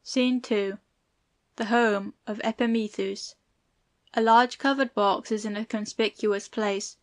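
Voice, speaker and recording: A woman's voice: medium pitch, fairly even intonation, slow pace. A woman reading stage directions as the narrator of an audiobook play.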